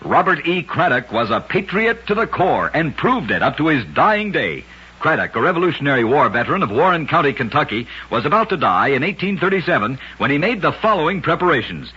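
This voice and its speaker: A male radio storyteller with a forceful, very raspy voice. He reads scripted oddities dramatically and deliberately, his pitch moving in lively swings. The recording is dull, like an old broadcast. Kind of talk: scripted narration of short oddity stories, vintage radio.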